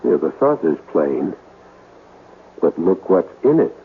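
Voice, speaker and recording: An actor playing a determined, assured investigator of haunted houses in a 1970s radio mystery, speaking deliberately. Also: male voice, sits low, big pitch swings, heavily acted. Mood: intrigued. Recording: lo-fi noisy 1970s radio recording.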